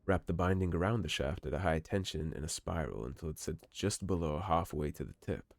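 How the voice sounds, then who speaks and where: A man giving relaxed, musing commentary over a game playthrough, his voice slightly hoarse. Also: low voice, brisk, dry recording. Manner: relaxed, musing, reads in-game notes aloud. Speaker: game streamer. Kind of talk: let's play commentary.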